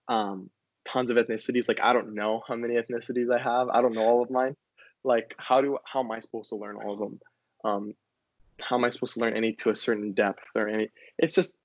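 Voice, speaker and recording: A man's voice: medium pitch, dry recording, muffled recording. A young man from Hawaii, a college student, talking casually and reflectively, with many likes and ums. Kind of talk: casual conversation.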